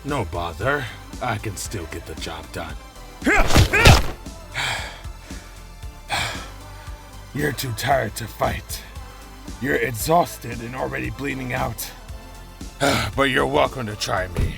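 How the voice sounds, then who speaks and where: An actor playing a cruel, taunting villain in a cyberpunk audio drama, menacing between heavy sighs. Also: male voice, mid-range voice, big pitch swings, over music, exaggerated voice. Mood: weary taunting. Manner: slow, breathy sighs, taunting. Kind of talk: cinematic audio drama acting.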